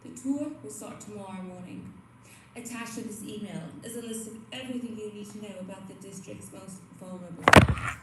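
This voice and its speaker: A woman's voice: mid-range voice, brisk, natural voice. A woman playing a professor who reads out a chirpy, formal announcement with a menacing edge in an audio drama. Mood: brisk, official. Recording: very echoey, noisy recording.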